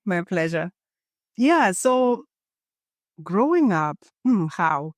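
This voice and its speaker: A woman from Botswana, a Setswana native speaker, guesting on a podcast and telling her story in a calm, guiding way, as if leading a meditation. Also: lowish voice, slow, no echo, clean studio sound. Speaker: guest, embodiment coach. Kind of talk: podcast interview, reflective storytelling.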